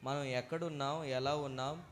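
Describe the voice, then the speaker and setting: A man hosting a live church puppet show, questioning, exclaiming and gently admonishing. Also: medium pitch, steady tone, normal speed, a little hiss or hum.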